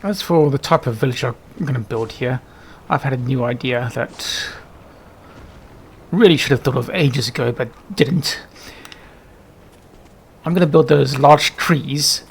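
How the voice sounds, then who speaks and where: Male game streamer, mid-pitched with vocal fry, casual running commentary, slightly noisy recording. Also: unhurried. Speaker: gaming video host.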